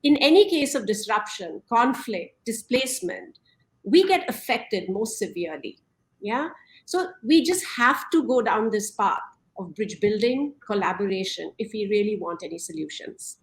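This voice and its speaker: A woman's voice: mid-range voice, sing-song, moderate pace. A woman speaking as a panelist, direct and conversational. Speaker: panelist, advocate. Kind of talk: panel discussion.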